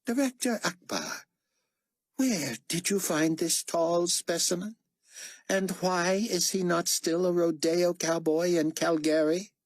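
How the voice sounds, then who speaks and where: A man's voice: high-pitched, slow, dry recording, pitched above the performer's own voice, exaggerated voice. A man playing a pompous, menacing world dictator in an audiobook, with clipped formal diction and sarcastic scorn. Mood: barking, mocking.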